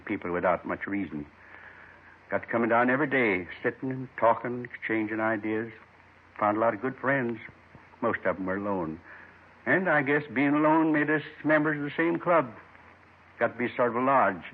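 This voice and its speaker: Actor as wistful old man, husky, muffled radio. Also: medium pitch, lightly acted. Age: 70s. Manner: wistful, folksy, rambling in short clipped phrases. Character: lonely, gentle 72-year-old man confessing a robbery. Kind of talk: 1950s radio drama acting. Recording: muffled 1950s radio recording.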